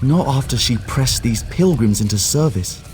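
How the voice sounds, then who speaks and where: A man's voice: medium pitch, expressive, normal speed, a little mellow, slight background noise, lightly acted. An actor voicing a cautious, scholarly male mage in a video game, speaking formally and precisely. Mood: concerned, formal. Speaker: quest-giving character.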